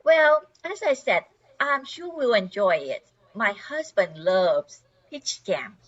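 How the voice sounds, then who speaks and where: A woman's voice: a little high, very animated, takes it slowly, full character voice. An older woman playing a confident, polite suburban wife in her thirties in an amateur radio play. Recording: dull lo-fi sound.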